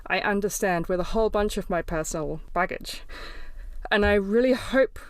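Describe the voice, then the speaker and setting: A woman podcast host speaks candidly and reflectively, often pausing on ums and restarting her thoughts. Her voice is smooth and clear. The recording is dry and close, with no room sound. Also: medium pitch, dry recording. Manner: reflective, candid, frequent fillers. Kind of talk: podcast intro and interview.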